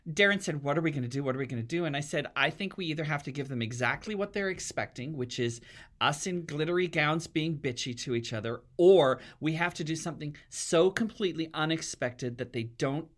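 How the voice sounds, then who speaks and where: A man's voice: a little high, expressive, fairly quick, fairly bright tone. A middle-aged man talking reflectively and earnestly as a guest in an interview, repeating words for emphasis. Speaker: guest, playwright and drag performer.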